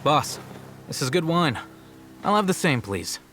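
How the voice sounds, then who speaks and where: A man voicing a calm, aloof male strategist in a game character demo, measured and dryly superior. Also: a little high. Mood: cool. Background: loud game music.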